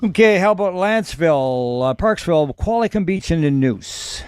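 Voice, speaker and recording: A man acting as net control on a ham radio net, chatting in a friendly, folksy way with lots of fillers and pauses. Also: a little high, expressive, background noise. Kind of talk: radio net operating chat.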